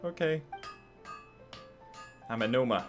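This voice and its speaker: Woman doing casual gameplay reactions, super low voice, game music in back. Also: lively intonation, takes it slowly. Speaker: gaming video host.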